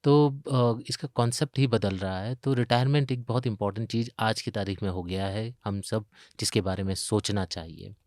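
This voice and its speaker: Low-voiced Indian man explaining patiently. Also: moderate pace, darkish tone, dry recording, studio quality. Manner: explanatory, poses questions and worked examples. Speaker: retirement expert, pension fund investment chief. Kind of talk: interview explanation.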